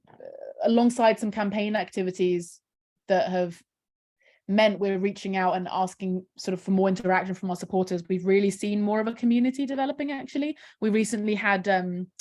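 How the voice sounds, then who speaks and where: A woman's voice: mid-range voice, steady tone, normal speed, darkish tone. A woman, a charity campaigner talking casually on a video call, filling her speech with "um" and "kind of". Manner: chatty, many fillers like "um" and "kind of". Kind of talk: casual video call interview, screen-share walkthrough.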